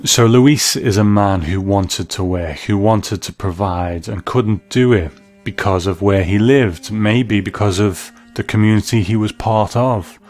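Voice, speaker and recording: A man's voice: on the low side, a little slow, few pauses, a little mellow. A man hosting a review show, giving reflective commentary. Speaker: host. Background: faint music.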